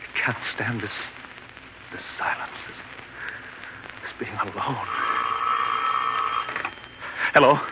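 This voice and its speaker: A man's voice: mid-range voice, takes it slowly, long pauses, natural voice. An actor in a 1940s radio drama playing an anguished, guilt-ridden man who is mentally unraveling, stammering and melodramatic. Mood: desperate, weary. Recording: muffled, noisy 1940s radio recording.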